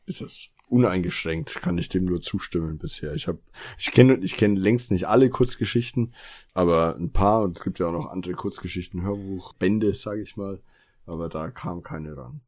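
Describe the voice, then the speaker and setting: A man with a fairly low voice co-hosts a podcast. He talks casually and conversationally, sounding keen and appreciative as he gives his opinions. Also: expressive, moderate pace, creaky, darkish tone. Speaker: podcast co-host. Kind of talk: casual podcast review chat about audio drama.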